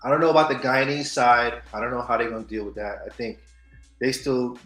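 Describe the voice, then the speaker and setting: A man co-hosting a casual news and culture show, animated, swinging between loud hype and soft asides. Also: mid-range voice, fairly quick. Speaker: podcast co-host. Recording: echoey room with faint music.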